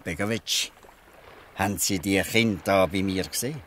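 A man playing a shrewd, gruff old fisherman in a Swiss German dialect radio play, questioning people with pointed irony. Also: low-pitched, normal speed, a bit bright. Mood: reproachful, pointed. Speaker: actor in an audio drama.

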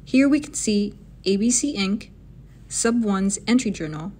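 A woman reading scripted narration for a software demo in an instructional, step-by-step manner. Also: mid-range voice, animated, unhurried.

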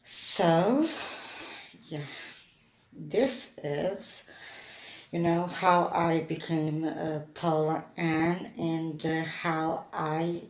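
A woman vlogger chatting casually to the camera in a halting, hesitant way as she gets ready. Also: low voice. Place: plain-walled room indoors. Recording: echoey room.

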